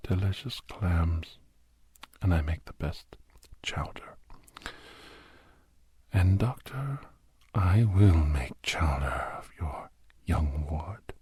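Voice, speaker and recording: A man playing a menacing, cultured, hungry villain in an ASMR drama, slow and deliberate, in a breathy whisper. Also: low voice, animated, dark tone, dry recording, exaggerated voice. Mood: threatening. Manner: slow, deliberate, halting, savoring phrases. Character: menacing, cultured captor, hungry island-dwelling werewolf villain.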